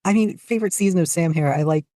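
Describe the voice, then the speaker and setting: A podcast guest chatting casually, speaking in halting but enthusiastic bursts. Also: medium pitch, warm tone, dry recording, studio quality. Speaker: podcast guest, fan fiction writer. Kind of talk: casual interview chat.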